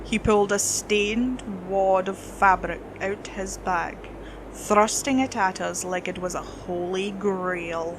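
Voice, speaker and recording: A woman's voice: mid-range voice, steady tone, slow, noisy background. A woman reading a scripted horror story as its narrator.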